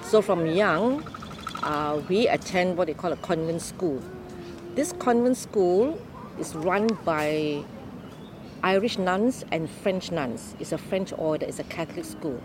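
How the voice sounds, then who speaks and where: An older woman from Malaysia telling her life story in an unhurried, explaining way during an interview. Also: lowish voice, expressive, background noise. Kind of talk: oral history interview.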